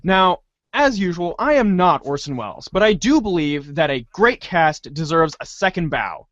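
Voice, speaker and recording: Animated male host with highish voice. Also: normal speed, no echo, lo-fi sound. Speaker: host of a readers' theater show. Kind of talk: casual show hosting.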